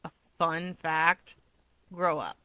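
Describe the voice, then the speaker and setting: A woman's voice: sits low, slow, bright, forceful tone, dry recording, heavily acted. A woman voicing a taunting, dismissive young man with a grudge in a fan fiction audiobook. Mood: curt, dismissive. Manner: sardonic, dismissive.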